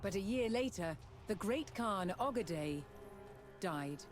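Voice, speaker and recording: A woman reading scripted documentary narration in a measured, storytelling manner. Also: mid-range voice, lively intonation. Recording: loud music under voice. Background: loud music.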